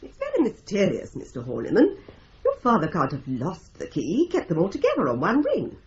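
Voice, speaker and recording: An actress playing a patient, practical longtime secretary at a law firm in a radio play. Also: female voice, fairly low pitch, wildly swooping pitch, a little fast, darkish tone. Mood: composed. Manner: composed, practical, polite. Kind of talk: radio drama acting. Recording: dull lo-fi radio recording, faint hiss.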